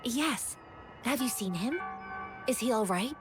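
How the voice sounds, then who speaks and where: A woman's voice: on the high side, expressive, unhurried, music in the background. An actress playing an earnest, kind-hearted young woman martial-arts wanderer in a video game, speaking politely and sincerely. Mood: worried, sincere.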